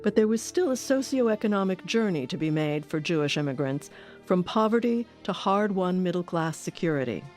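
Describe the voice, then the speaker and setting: A woman's voice: fairly low pitch, expressive, moderate pace, darkish tone. A woman reading scripted documentary narration as the host of an audio series. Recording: music under the voice.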